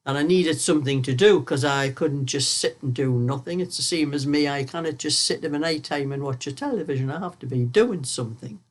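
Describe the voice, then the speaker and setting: Croaky older woman. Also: low-pitched, brisk, hardly any pauses. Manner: rambling, chatty storyteller who laughs often. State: croaky from a cold. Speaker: knitting vlog host. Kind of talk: casual chat while knitting.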